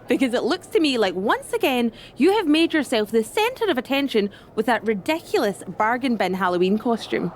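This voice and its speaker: A sardonic witch who leads a coven in a wrestling game. Her fairly high voice moves widely as she scolds. There is faint noise behind her. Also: sing-song, moderate pace. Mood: scolding. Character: scornful, exasperated leader of a witch coven of wrestlers. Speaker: voice actor in a video game cutscene. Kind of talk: video game acting.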